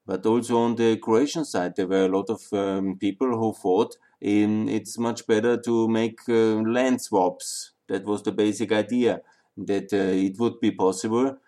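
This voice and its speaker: Halting Austrian-accented man lecturing. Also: fairly low pitch, steady tone, dark tone. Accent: Austrian German. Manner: halting, many fillers and restarts. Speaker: speaker on Balkan history. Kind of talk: lecture to an audience.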